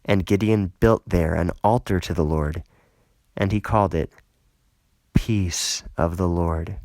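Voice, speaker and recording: A man narrating scripture from a script in a solemn, deliberate and dramatic manner. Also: low voice, a little mellow, no room sound. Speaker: Bible reader.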